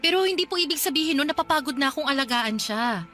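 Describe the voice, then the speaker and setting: Casting: long-suffering Filipino daughter-in-law, young, very high voice, apologetic, radio drama with music bed. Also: female voice, animated, quick pace, bright, forceful tone. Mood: hurt, defensive. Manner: pleading, apologetic, deferential.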